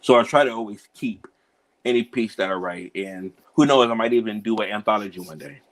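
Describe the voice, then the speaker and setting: A man in his thirties from Cincinnati, a children's book author answering interview questions in a relaxed way, laughing easily and filling pauses with "um" and "you know". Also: on the low side, poor audio. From Cincinnati, USA.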